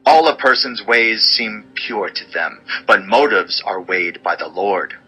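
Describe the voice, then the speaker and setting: A man narrating an audio Bible as a dramatized reading, with a measured, solemn, declarative delivery. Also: lowish voice, pushed and bright, husky, soft background music, low-quality recording.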